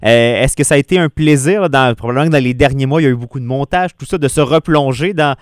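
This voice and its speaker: A man hosting a radio interview, asking questions in a relaxed, chatty way. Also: mid-range voice, quick pace, clear, resonant tone, dry recording, clean studio sound. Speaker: radio host.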